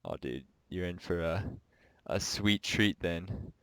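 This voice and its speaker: Stuffed-up nasal young man, low voice. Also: slow, a bit bright, clean tone, dry recording. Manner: rambling, restarts sentences often. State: sick and stuffed up. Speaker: podcast co-host. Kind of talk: casual gaming podcast chat.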